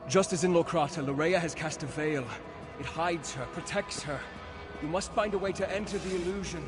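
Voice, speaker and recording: Game ally: male actor as earnest guide, formal and urgent, higher-pitched voice, clear musical backing. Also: a little fast, loud music behind the voice, natural voice. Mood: grave instruction. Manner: solemn, imploring, formal. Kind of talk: video game voice acting.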